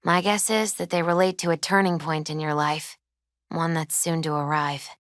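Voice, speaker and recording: A woman playing a gentle, earnest young tarot reader in a video game, with thoughtful, hesitant phrasing. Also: low voice, level tone, normal speed, no echo, studio quality. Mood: mystical, explanatory. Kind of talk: naturalistic video game dialogue acting.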